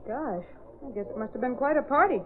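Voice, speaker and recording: Through a thin, phone-like old radio recording comes a brisk newspaper woman. Her voice is high and quick, full of snappy slang and sass, in classic radio drama style. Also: lively intonation, slight background noise. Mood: sassy. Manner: snappy, slangy wisecracking delivery. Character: brisk, sassy young newspaper photographer woman. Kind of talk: 1930s-40s radio drama acting. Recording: tinny, band-limited old radio recording.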